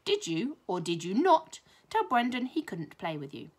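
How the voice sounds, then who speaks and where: Woman acting a shouting, stern male schoolmaster. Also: high voice, huge pitch swings, moderate pace, husky, voice pushed up, heavily acted. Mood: angry, shouting. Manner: shouting, clipped, scolding. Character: stern, angry schoolmaster who bullies pupils.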